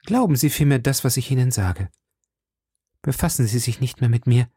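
An earnest young woman in an audiobook, as the narrator puts her on, pitched up from the natural voice. The delivery is urgent, formal and pleading, with a soft, mellow tone and a close, dry sound. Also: lively intonation, moderate pace, slightly rough, dry recording. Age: young adult. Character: earnest, anxious young woman guarding dangerous secrets. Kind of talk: audiobook character dialogue.

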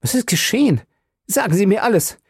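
A man voicing a frantic young husband who shouts panicked questions in a read-aloud story. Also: high voice, sing-song, a little fast, slightly rough, no room sound, studio quality, pitched above the performer's own voice, exaggerated voice.

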